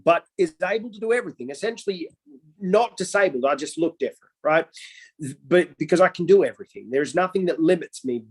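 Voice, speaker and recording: A young man, originally from Iraq, telling his life story as an interview guest in an earnest way with the odd joke. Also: a little high. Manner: earnest and storytelling, with playful jokes. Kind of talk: casual interview talk.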